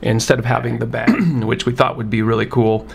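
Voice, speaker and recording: A man's voice: medium pitch, steady tone, a little fast, vocal fry, slight background noise. A man giving informal, encouraging instructional narration as a puppetry teacher, in a conversational way.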